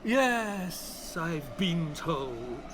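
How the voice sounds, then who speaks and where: An actor playing an earnest, bewildered doctor, polite and old-fashioned, in an audio drama. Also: male voice, on the high side, very animated, very slow, background noise, exaggerated voice. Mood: puzzled. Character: earnest, bewildered British doctor, gentleman narrator of adventures. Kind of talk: audio drama acting and scripted narration.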